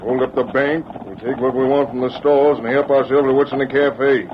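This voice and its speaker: A man's voice: medium pitch, fairly even intonation, a little fast, lightly acted. A man playing a scheming, boastful outlaw gang boss in an old radio Western, with a folksy American Western drawl. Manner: folksy drawl, bossy and gloating. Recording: muffled, noisy old radio broadcast.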